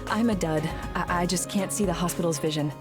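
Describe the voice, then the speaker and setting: An actress voices an apologetic, flustered townswoman in a game cutscene. Her voice is fairly low for a woman, with clear music underneath. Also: brisk, lightly acted. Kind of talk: video game cutscene voice acting.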